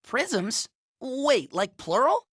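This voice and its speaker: A kind young boy hero in a cartoon game. The voice is high and lively, dry and close, with an earnest, gentle, youthful sincerity. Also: extremely slow, breathy edge, no room sound, exaggerated voice. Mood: puzzled. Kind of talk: animated game cut-scene acting.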